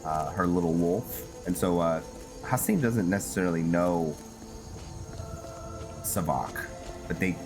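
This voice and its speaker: A man with a very low voice talks his way slowly through a video game. He muses to himself in a halting, casual way, with clear music playing behind him. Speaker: gameplay host narrating a roleplay playthrough. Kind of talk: casual game commentary, thinking aloud.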